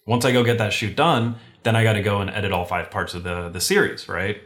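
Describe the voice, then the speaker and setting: A man who grew up in Venezuela, a podcast guest talking casually over a video call in a relaxed, rambling way. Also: lowish voice, fairly quick. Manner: relaxed, rambling with fillers and restarts.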